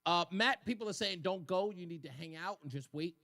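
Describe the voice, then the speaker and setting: A man hosts a radio talk show in an easy, joking way, laughing between lines. His voice sits high and rings bright. The studio sound is dry and close. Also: fairly quick, no room sound. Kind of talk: casual on-air chat.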